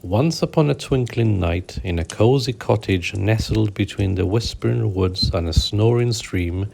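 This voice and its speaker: A man hosting a children's bedtime story show, narrating in a gentle, soothing, unhurried way. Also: lowish voice, gravelly, slight background noise.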